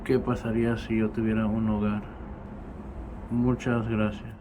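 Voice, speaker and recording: A man with a low, fairly even voice recites his own poem as a poet would at a reading. A clear hum, like air conditioning or traffic, runs behind him. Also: normal speed. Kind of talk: spoken poetry reading.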